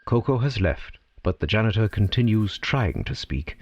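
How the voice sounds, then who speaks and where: A man's voice: on the low side, sing-song, moderate pace. Guy narrating a radio story, dramatic and swoopy, bit muffled, music behind. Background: prominent music.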